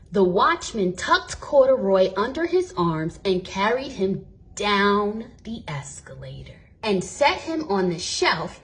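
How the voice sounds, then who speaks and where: A woman reading a storybook aloud for toddlers with expressive, dramatic and deliberate emphasis. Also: mid-range voice. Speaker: storybook reader.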